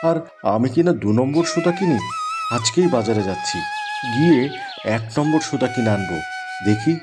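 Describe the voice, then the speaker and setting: A man's voice: medium pitch, lively intonation, normal speed, loud music behind the voice, lightly acted. A man playing an aggrieved, hard-working village husband in a cartoon. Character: aggrieved, toil-worn village husband who sells clothes. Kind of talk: animated cartoon voice acting.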